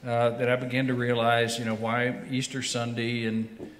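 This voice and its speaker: A man's voice: medium pitch, little pitch movement, moderate pace. A man who is a pastor, chatting casually about his recent heart surgery, joking and rambling with many restarts and filler words. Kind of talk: casual chat. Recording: echoey room with faint noise.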